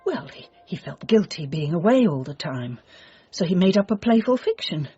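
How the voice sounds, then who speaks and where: Older widowed mum, down to earth but anxious, voice goes up and down loads, slightly muffled. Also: female voice, on the low side, very animated, moderate pace, dark tone, breathy edge, soft background music. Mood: practical, dismissive. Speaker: actor in radio drama. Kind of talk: naturalistic radio drama dialogue. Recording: muffled, faint background noise.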